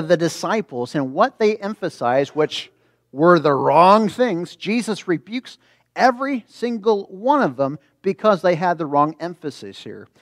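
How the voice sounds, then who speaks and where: A man preaching a sermon as a pastor, reading scripture and explaining it in an animated way, acting out reactions. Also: sits high, no echo, studio quality. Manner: animated, acts out imagined reactions.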